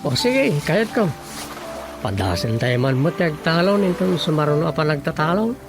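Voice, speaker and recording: A man with an Ilocano accent playing an unhurried comic rural man in a radio drama, with a creaky voice. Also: fairly high pitch, sing-song, over music. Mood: agreeable.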